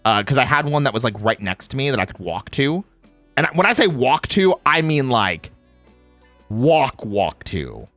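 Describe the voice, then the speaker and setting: A man's voice: mid-range voice, big pitch swings, normal speed, a bit bright, no echo, faint music behind. A man in a playful, casual livestream chat, reading out viewer comments and replying in slangy speech. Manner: playful, reads chat aloud and replies.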